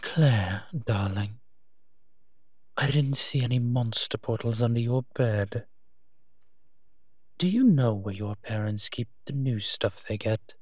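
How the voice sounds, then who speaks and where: A man playing a teasing, protective monster detective in human form, gentle and husky, in an intimate audio roleplay. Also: medium pitch, no echo, studio quality. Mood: soft, wry. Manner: slow, teasing, tender endearments.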